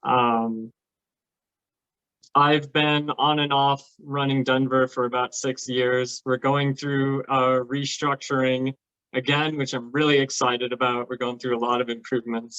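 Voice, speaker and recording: A man's voice: mid-range voice, steady tone, unhurried, a bit bright, no room sound. A man explaining things casually as a panel guest. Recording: dry, slightly muffled audio.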